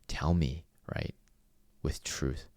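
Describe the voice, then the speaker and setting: Very deep-voiced earnest man. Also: fairly even intonation, slow, a little mellow, no echo, clean studio sound. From Venezuela. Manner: earnest and reflective, rambling with many restarts. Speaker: podcast host. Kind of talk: solo podcast monologue.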